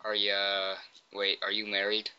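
Sharp, clear-voiced man making a prank call. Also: on the low side, monotone, moderate pace, no room sound, lo-fi sound. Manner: informal, provocative, feigning cluelessness. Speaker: prank caller. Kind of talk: casual prank phone call.

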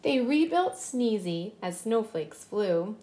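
A woman in her thirties giving an expressive children's picture-book read-aloud, drawing out words for emphasis. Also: mid-range voice. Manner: expressive, slow, drawn-out emphasis. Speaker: children's storybook reader.

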